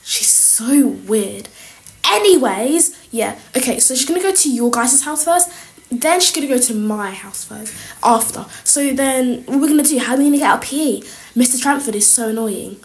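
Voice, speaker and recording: A woman's voice: on the high side, animated, normal speed. A woman playing a scheming, cliquey schoolgirl in an improvised school role-play, chatty and slangy. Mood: conspiratorial, sassy. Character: scheming, cliquey schoolgirl plotting against teachers.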